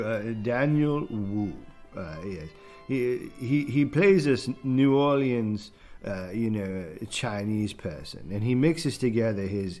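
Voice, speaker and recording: A man plays a self-important British film critic with a slightly low voice. He rambles haltingly through a comic podcast review, easily sidetracked, with music playing behind him. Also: a little mellow.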